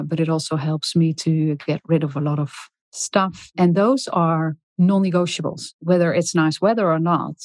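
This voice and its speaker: A middle-aged woman speaking in a calm, soft, deliberate way as a podcast guest, with many small pauses and ums. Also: low voice, dry recording. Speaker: guest expert on leadership. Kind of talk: podcast interview.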